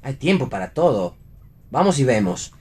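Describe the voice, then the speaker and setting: An actor playing a concerned husband in read radio theatre, with a husky voice. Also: male voice, medium pitch, sing-song, normal speed, dark tone. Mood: urging. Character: concerned husband of a woman in labour.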